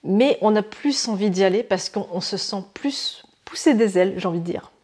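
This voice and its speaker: A woman's voice: mid-range voice, normal speed, breathy edge. Chatty woman with frequent filler hesitations. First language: French. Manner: hesitant, many fillers and restarts, expressive images. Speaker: astrology channel host. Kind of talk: casual explanatory monologue to camera.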